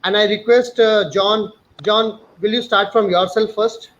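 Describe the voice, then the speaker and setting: High-voiced man hosting a webinar. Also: fairly even intonation, fairly bright tone. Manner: halting, frequent restarts and fillers. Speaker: webinar host, university director. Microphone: video call. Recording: dull lo-fi call audio with faint noise.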